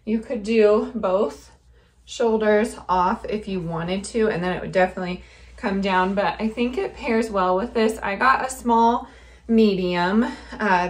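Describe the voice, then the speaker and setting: A young woman vlogging casually to a handheld phone, chatty and upbeat, with filler words. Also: mid-range voice. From United States. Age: young adult. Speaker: family vlogger. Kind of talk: casual vlog talk to camera. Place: indoors room, then snowy backyard outdoors. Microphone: phone held at arm's length.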